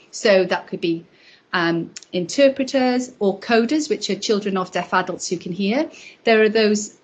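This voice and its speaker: A woman with a slightly husky, breathy voice gives a live spoken interpretation of an academic lecture. Her pitch sits in an ordinary middle range, and she talks in a measured, explanatory way with short pauses and small restarts. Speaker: sign language interpreter voicing a deaf lecturer.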